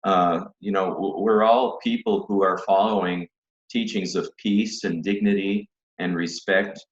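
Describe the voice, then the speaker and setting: Deep-voiced priest on a roomy webcam. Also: male voice, steady tone. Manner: earnest, measured, many restarts. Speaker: priest guest. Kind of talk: video call discussion. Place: home study with bookshelves. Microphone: video call webcam. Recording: dull, roomy webcam audio.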